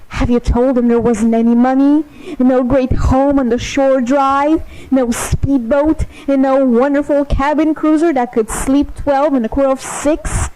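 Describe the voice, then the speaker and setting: A woman performs a sadistic artificial intelligence with a teenager's god complex. The voice sits fairly high yet mellow and dark, and it draws out each taunt very slowly. The delivery is theatrical game-villain monologue, hateful under a mock-sweet surface. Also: low-quality recording. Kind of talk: dramatic monologue, fan voice acting of game lines.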